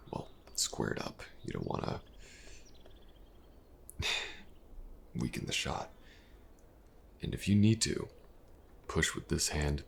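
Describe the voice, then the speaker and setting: A man playing an elven mercenary companion in an intimate fantasy audio roleplay, patient and gentle, with a hesitant, casual way of talking. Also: slight background noise, heavily acted. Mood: awkward, gentle, then reassuring, instructive. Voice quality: very deep, gravelly. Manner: hesitant, soft-spoken, casual, with halting pauses.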